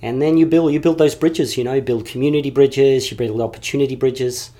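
A man's voice: mid-range voice, steady tone, a little fast, dark tone. A man guest in an interview, talking earnestly and reflectively, often repeating phrases as he builds a point. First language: English.

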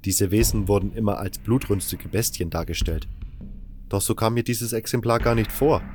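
A man's voice: on the low side, normal speed, a little mellow, soft background music. A man reading scripted first-person fantasy narration as an audiobook narrator.

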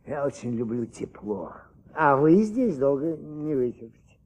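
A man playing a crotchety old retired judge, halting and condescending, in a Soviet radio drama. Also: medium pitch, very animated, a little mellow. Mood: bossy, hospitable. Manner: halting, muttering, chuckling, condescending. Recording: dull old radio recording.

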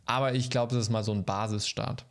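A middle-aged man co-hosting a podcast, chatting casually and rambling through stories in a relaxed way. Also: lowish voice, a little fast. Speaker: podcast co-host. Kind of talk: casual chat.

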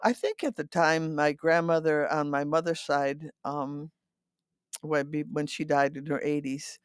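A woman in her eighties from the Netherlands, reminiscing in a halting, rambling way as an interview guest, often repeating words and checking for agreement. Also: sits low, fairly even intonation, clear, resonant tone, no room sound, studio quality. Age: 80s. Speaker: interview guest telling her life story. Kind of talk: reminiscing, conversational storytelling.

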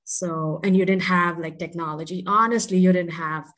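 Donna cresciuta in India, voce piuttosto grave. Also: female voice, fairly low pitch, fairly even intonation, normal speed, a bit bright. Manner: chatty, self-deprecating, many fillers and laughs. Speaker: guest. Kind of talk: casual interview storytelling.

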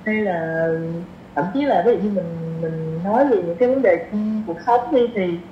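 A woman's voice: fairly low pitch, normal speed, faint music behind. A woman in her twenties with a southern Vietnamese accent, chatting informally as a podcast guest and mixing in English slang. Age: 20s. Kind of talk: casual conversational interview. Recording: roomy, dull sound with faint noise.

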